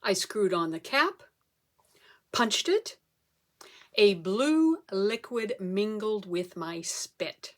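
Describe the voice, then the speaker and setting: A woman performing a comic story as a speech, theatrical, with dramatic pauses and emphasis. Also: mid-range voice. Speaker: club speaker telling a humorous tall tale.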